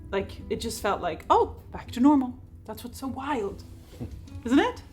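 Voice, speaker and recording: A woman's voice: on the high side, a little slow, soft background music. Chatty woman vlogger. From Sweden. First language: Swedish. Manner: chatty, excitable, laughs often. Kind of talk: casual chat to camera.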